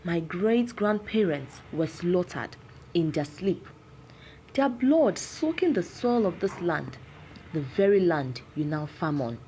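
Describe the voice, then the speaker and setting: An anguished, cursed villager played by a woman storyteller pleads his case in a folktale. He is grave and vengeful, speaking slowly in a mellow tone with dramatic swings of pitch. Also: big pitch swings, slight background noise, exaggerated voice, low-quality recording. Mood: sorrowful, bitter.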